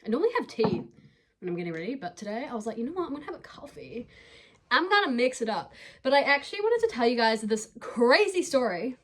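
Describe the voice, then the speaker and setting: A woman's voice: fairly high pitch, very animated, fast. A young woman with a New Zealand accent telling a story in a casual, chatty way, using informal slang as a vlogger. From New Zealand, from Dunedin.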